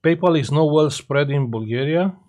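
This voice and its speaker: Halting man with a Romanian accent. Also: fairly high pitch, darkish tone. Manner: halting, frequent filler pauses, reads out viewer comments. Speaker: live stream host. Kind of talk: casual live stream chat with viewers.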